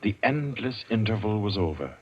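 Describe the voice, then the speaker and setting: An actor playing the solemn narrator of an old radio suspense tale, measured and grave. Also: male voice, on the low side, sing-song, background noise. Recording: dull old radio recording. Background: prominent music.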